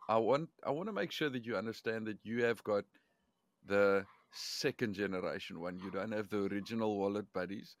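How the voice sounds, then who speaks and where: A man's voice: lowish voice, a little fast, dry recording. A man hosting a casual podcast chat, teasing and swearing freely. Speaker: podcast host.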